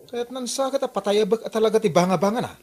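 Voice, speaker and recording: A man's voice: high voice, fast, a little mellow. A man with an Ilocano accent playing a rude, hot-headed, boastful son in a comedy radio drama. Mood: indignant, boastful.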